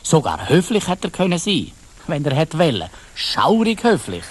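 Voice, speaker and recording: A man's voice: on the high side, wildly swooping pitch, normal speed, background noise. A man with a Swiss German accent narrating a children's story in a colloquial way, addressing his listeners directly.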